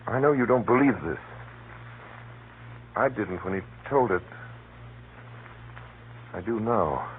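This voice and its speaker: Dramatic 1930s radio gentleman, deep, scratchy. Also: expressive, takes it slowly, pauses often, phone-quality sound. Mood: earnest. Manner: dramatic, urgent, emphatic storytelling. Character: urgent, shaken gentleman recounting a deadly spy conspiracy.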